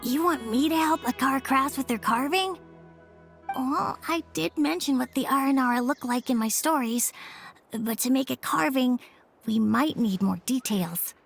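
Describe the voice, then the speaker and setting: An earnest, imaginative little boy in a video game. The voice is fairly high with a gravelly creak, sincere in delivery, over light music. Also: normal speed. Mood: hopeful, grateful. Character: earnest, imaginative young boy devoted to his father. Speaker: voice actor in a game. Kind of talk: emotive video game story dialogue.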